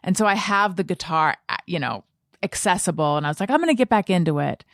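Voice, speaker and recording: A woman's voice: on the low side, expressive, fast, no echo, clean studio sound. A woman hosting a casual podcast conversation, often hesitating as she thinks aloud. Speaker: podcast host.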